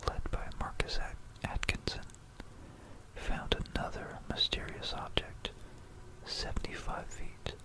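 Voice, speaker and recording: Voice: unhurried, rough recording. An ASMR presenter narrating history in a whisper, musing aloud between passages, close to a stereo microphone. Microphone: close stereo binaural microphone.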